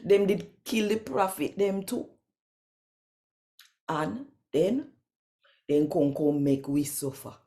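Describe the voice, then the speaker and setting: A woman with a Jamaican accent reading scripture expressively in Patois, with deliberate, emphatic, storytelling phrasing. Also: fairly low pitch, animated, warm tone. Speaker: scripture reader.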